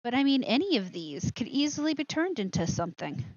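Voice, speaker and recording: A woman's voice: on the high side, sing-song, fairly quick, dry recording, muffled recording. A woman hosting a live show with casual commentary, rambling and interrupting herself with asides.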